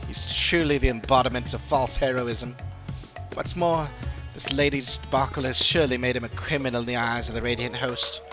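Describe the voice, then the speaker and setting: A man's voice: mid-range voice, fairly even intonation, moderate pace, music in the background, lightly acted. A man voicing a regretful father in a fantasy game, speaking solemnly and formally of his disappointment. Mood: disappointed, pleading. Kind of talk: dramatic reading of game dialogue.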